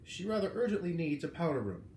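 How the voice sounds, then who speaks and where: A man's voice: a little high, very animated, quick pace, a little mellow, pitched above the performer's own voice. A man voicing a pompous, impatient, silver-haired vice president, sarcastic and condescending, in a storybook reading. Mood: exasperated. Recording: roomy, echoing, noisy home recording.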